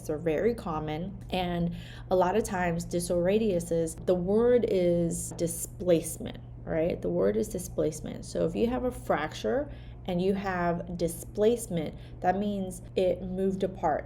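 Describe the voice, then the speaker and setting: A woman's voice: on the low side, creaky, dark tone, a little hiss or hum. A woman whose first language is Vietnamese, a hand therapist giving a casual teaching talk, peppered with "like" and "right?". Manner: conversational, frequent "like" and "right?" tags.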